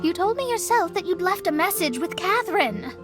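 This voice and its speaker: A proud young astrologer girl from an anime game. Her high voice moves in lively swings, dry and exasperated, a straight-talker with music playing behind. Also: fairly quick. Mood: flustered.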